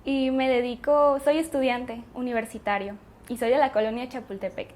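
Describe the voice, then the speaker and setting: A young woman with a Sonoran Mexican accent, a university student, telling a story in a lively way. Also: fairly high pitch, normal speed. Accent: Sonoran Mexican Spanish. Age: young adult.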